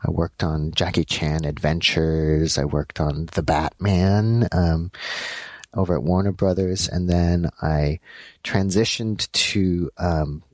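A man from Los Angeles who works as an animation director, answering interview questions in a halting way with many fillers. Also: low voice, fairly even intonation, no room sound. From Los Angeles, United States. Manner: halting, many ums and uhs.

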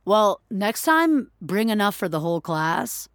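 A woman's voice: medium pitch, very animated, measured pace, no echo, studio quality. A woman voicing a casual, teasing male coworker in an audio fiction story, with a wry, slangy delivery.

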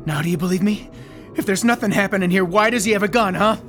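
An actor playing a stubborn, agitated, paranoid conspiracy theorist in an audio drama, insistent and intense. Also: male voice, high voice, fast talker, a bit bright, soft background music. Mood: defiant, urgent.

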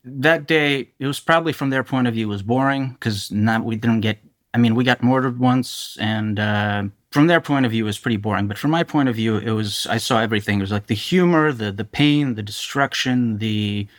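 Male reporter as interviewee, lowish pitch, candid eyewitness storytelling with a halting delivery. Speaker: journalist being interviewed. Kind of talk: candid first-person interview account.

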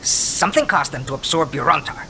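Low, intense, terse woman wanderer. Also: animated, fairly quick, sharp tone, slight background noise, exaggerated voice. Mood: stern, intense. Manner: clipped short phrases, grave. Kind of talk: audio drama acting.

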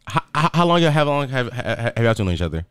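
A young man from hosts a loose podcast chat. His pitch swings up and down a lot as he teases his guest. He trips over his words in stutters and restarts, then laughs them off. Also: big pitch swings, quick pace, a bit bright, clean tone, no echo. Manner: joking, teasing, blunt. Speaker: podcast host. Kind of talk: casual chat.

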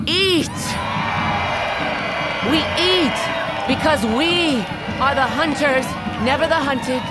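Slow, proud warrior queen over loud music. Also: fairly high pitch. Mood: rousing. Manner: solemn, deliberate oratory. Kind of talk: dramatic audio fiction acting. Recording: loud dramatic music behind.

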